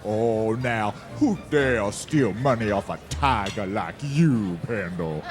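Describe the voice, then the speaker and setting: An actor playing a mocking, menacing cowboy bully with a taunting drawl in a western radio drama. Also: male voice, on the low side, slow. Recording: music behind the voice.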